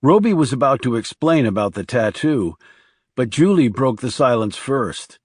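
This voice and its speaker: A man's voice: medium pitch, big pitch swings, normal speed, slightly rough, no echo, clean studio sound. A man reading scripted thriller narration as an audiobook narrator.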